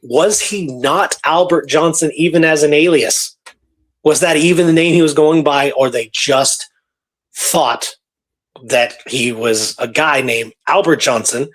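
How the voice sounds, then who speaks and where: Guy hosting a radio show, voice a bit high, chatting through an old case, animated and conversational. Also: measured pace. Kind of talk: casual storytelling discussion of a historical case.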